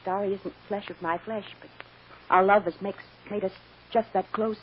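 An actress playing an anxious, devoted woman accused of a crime, speaking earnestly and haltingly in an old-time radio drama. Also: mid-range voice, fairly even intonation, natural voice. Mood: subdued, troubled. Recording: muffled, hissy old radio recording.

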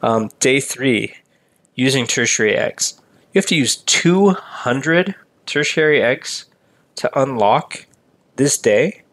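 A man talks through a mobile game event over a screen recording, his voice a little raspy. He has a relaxed, conversational delivery, pausing often with ums as he explains each step. His pitch sits in the middle range for a man. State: slightly raspy voice. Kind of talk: casual game walkthrough commentary.

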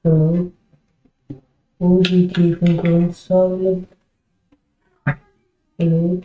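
A woman's voice: lowish voice, completely flat delivery, slow pace. A woman gamer chatting casually over in-game voice chat in a plaintive, pestering manner. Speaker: online game player. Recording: phone-like, echoey game voice chat.

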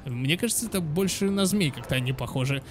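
High-voiced young man, chatty gamer. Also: lively intonation, quick pace. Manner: chatty, exclamatory, addresses viewers as friends. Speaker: gaming channel host. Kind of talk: casual game commentary for children.

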